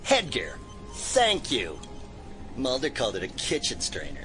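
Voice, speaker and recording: A man's voice: medium pitch, wildly swooping pitch, unhurried, music in the background. A man playing a wry, casual, geeky conspiracy hacker in an audio drama. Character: laid-back, geeky male conspiracy hacker.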